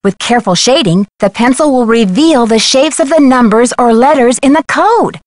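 A woman's voice: a little high, normal speed, darkish tone, no echo, natural voice. An actress playing an earnest, clever young female detective in a video game, explaining things with composure. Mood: earnest, composed.

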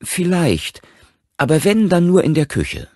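A male narrator plays a fretful mother in a horror audiobook. Her voice is raised high, lively and slightly husky, plaintive and insistent about her child. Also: measured pace, dry recording, clean studio sound, exaggerated voice. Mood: anxious. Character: worried mother fretting over her frightened young son.

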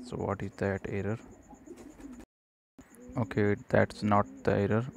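A man narrating an unscripted screencast tutorial, explaining step by step in a halting way. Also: on the low side, vocal fry. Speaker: programming tutorial presenter. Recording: noticeable background noise.

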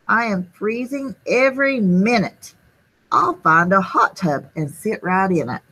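A woman's voice: medium pitch, animated, measured pace, pushed and bright, slightly rough, exaggerated voice, poor audio. A woman voicing a cheerful, cold and sneezy male snowman in a children's storybook, exclaiming and drawing out words. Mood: shivering. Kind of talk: children's storybook read-aloud.

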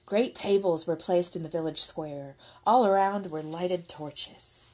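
A woman's voice: on the low side, measured pace, dark tone, rough recording. A middle-aged woman reading a picture book aloud expressively as a storytime reader, indoors at a table.

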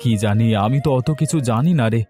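A man's voice: mid-range voice, fast, mellow, faint music behind, natural voice. A man voicing a worried, weary village husband and shrimp farmer in a fairy-tale cartoon.

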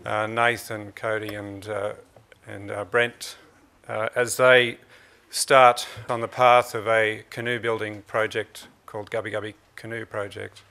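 Gravelly middle-aged man, flat delivery, bright edge, formal opener. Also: on the low side. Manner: slow, halting, formal. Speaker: council representative. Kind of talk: formal introduction. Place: conference stage, indoors.

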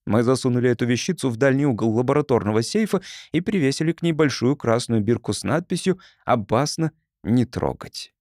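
A man reading an audiobook story as narrator, in an expressive scripted style. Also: medium pitch, quick pace, few pauses, no echo, clean studio sound.

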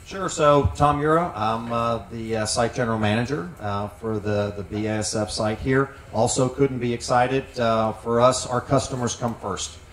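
A man's voice: mid-range voice, steady tone, moderate pace, hardly any pauses. A middle-aged man speaking on a panel as a site manager, earnest and full of fillers, into a handheld mic in a large tent. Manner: earnest, with frequent fillers and repeated words. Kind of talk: panel discussion. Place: large tent at an outdoor event. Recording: roomy sound from some distance, faint noise.

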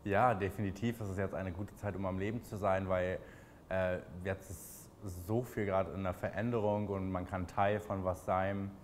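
A man in his twenties with a Berlin accent, answering interview questions in a relaxed, chatty way with many fillers. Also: deep voice, moderate pace, slight background noise. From Berlin, Germany.

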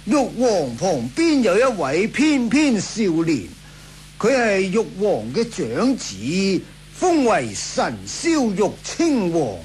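Very slow, unctuous old Taoist priest. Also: male voice, high-pitched, wildly swooping pitch, darkish tone, a little hiss or hum, exaggerated voice. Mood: sly flattery. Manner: unctuous, theatrical, drawn-out. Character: sly, flattering old Taoist priest and sorcerer. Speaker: radio drama actor. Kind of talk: Cantonese radio drama acting, 1980s.

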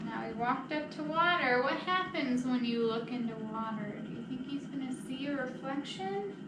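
A woman's voice: high-pitched, expressive, moderate pace. A woman leading storytime as a children's librarian, talking simply and encouragingly to small children. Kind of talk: children's storytime reading and singing. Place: library children's room, indoors. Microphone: distant camera microphone across the room. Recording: echoey room, distant mic, noisy.

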